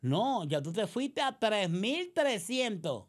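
A woman's voice: mid-range voice, sing-song, slow. A woman with a Puerto Rican accent delivering a combative political monologue, sarcastic and accusatory, full of rhetorical questions. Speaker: political commentator.

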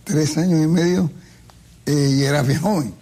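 Husky gravelly pastor hosting an interview. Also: male voice, fairly high pitch, normal speed, mellow. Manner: friendly, prompting with short questions. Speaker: pastor and show host.

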